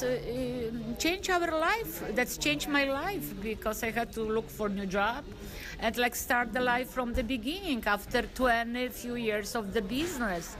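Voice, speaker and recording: A middle-aged woman with a Polish accent, answering interview questions haltingly with many fillers. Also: fairly high pitch. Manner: hesitant, many fillers, halting non-native phrasing. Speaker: interviewee, former business owner. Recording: crowd noise in background.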